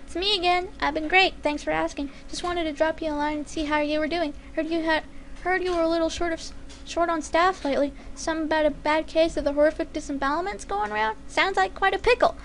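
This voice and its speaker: A woman's voice: very high-pitched, steady tone, quick pace, pitched above the performer's own voice. A woman voicing a cheeky female pony assassin in a story, sarcastic, flippant and breezily gleeful in manner. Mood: mock-friendly.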